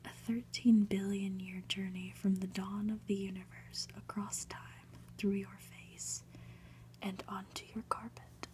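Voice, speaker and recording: Storybook professor, male, grave and lecturing played by a woman, breathy whisper, very measured, faint noise behind. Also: mid-range voice, level tone, mellow, natural voice. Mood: ominous. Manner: portentous, lecturing, drawn-out dramatic pauses. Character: male professor, ominous, pompous, fond of grand theories.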